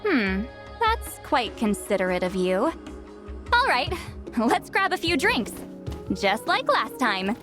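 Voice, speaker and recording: Haughty, high-voiced shaman woman. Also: slow pace, music in the background. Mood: cool, casual. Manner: proud, huffy, expressive. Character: haughty, easily flustered shaman woman, centuries old but youthful. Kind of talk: English video game voice acting.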